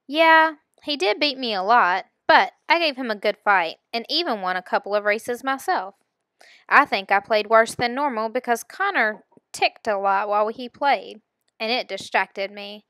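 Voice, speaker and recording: A woman reads a story aloud in a bright, fairly high voice. The recording is dry and close, and her delivery is expressive, built for acting out a children's novel. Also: normal speed, no echo. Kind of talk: read-aloud storytelling in first person.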